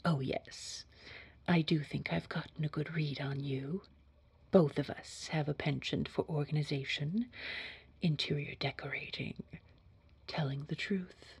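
A woman playing a poised, sinister boss in an audio roleplay, speaking formally in a hushed, husky, measured and quietly controlling way. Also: sits low, fairly even intonation, no room sound, exaggerated voice. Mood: confiding. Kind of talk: scripted audio roleplay acting.

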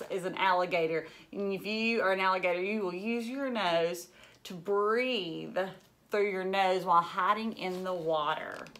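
A woman's voice: lowish voice, bright, forceful tone. A woman in her thirties reading a picture book aloud to young children, with exaggerated wonder and lots of questions. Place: indoors, furnished living room.

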